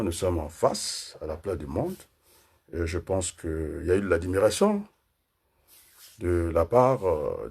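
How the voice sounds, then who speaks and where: A man's voice: deep voice, warm tone, breathy edge. A man from Congo giving a combative political monologue, hesitating often with fillers. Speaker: political commentator.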